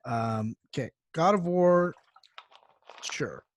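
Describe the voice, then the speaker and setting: A man's voice: a little high, expressive, drawn-out words, a little hiss or hum. A man co-hosting a podcast in casual chat, reacting sarcastically and repeating phrases for emphasis. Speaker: podcast co-host.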